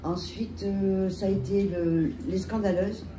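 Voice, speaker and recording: A woman with a French accent and a head cold, telling her story on a panel with halting restarts. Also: medium pitch, steady tone. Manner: halting, many restarts and fillers. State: has a cold. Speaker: bar owner, panelist. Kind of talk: panel talk, recounting her history. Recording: echoey room, distant mic, loud background noise.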